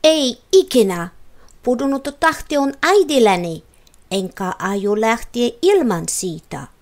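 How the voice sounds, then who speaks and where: A woman's voice: on the high side, animated. A woman voicing a plucky, determined child adventurer in a storybook, with emphatic exclamations. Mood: defiant.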